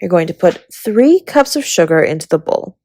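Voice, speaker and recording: A woman playing a flirty, affectionate dragon baker spouse in a romantic roleplay audio, with a breathy, husky, teasing delivery. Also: lowish voice, very animated, normal speed, a little mellow, no room sound, studio quality. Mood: playful, instructive. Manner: teasing, intimate, often slipping into breathy whispers.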